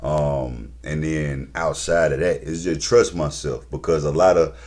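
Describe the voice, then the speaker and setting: A man's voice: very deep voice, steady tone, unhurried, darkish tone. A man in his thirties, a rapper and label owner, talking casually and laid-back in an interview, with slang and lots of fillers. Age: 30s.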